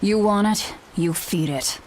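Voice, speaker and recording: A woman's voice: mid-range voice, unhurried, slight background noise, natural voice, poor audio. A woman playing a cold, hardened young fighter in a video game, with blunt, dry delivery. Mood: stern, curt. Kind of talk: English video game dub acting.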